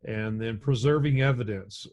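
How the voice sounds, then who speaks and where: A middle-aged man with a deep voice teaching an EMS lecture over a video call, speaking in a measured, conversational way with frequent restarts. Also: darkish tone. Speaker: EMS instructor. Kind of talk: recorded slide lecture. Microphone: webcam and computer mic on a video call.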